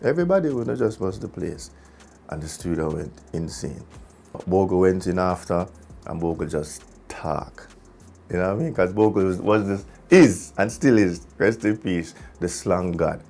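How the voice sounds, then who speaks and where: Jamaican male musician, low creaky voice, informal animated storyteller, light music underneath. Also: normal speed. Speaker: musician, singer in a group. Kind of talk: casual storytelling interview.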